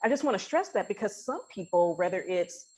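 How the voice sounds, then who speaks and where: A woman's voice: medium pitch, expressive, brisk, darkish tone, breathy edge. A woman hosting a casual interview podcast, talking in an affirming, explanatory way. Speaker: podcast host.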